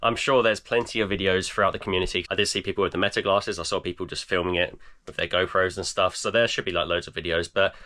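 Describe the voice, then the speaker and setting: A man in his thirties, croaky and tired, chatting casually in a vlog with lots of fillers. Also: low voice, fairly even intonation, quick pace, bright, forceful tone. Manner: tired, rambling with many fillers. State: croaky, voice almost gone. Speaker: eBay reseller vlogger. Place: home garage.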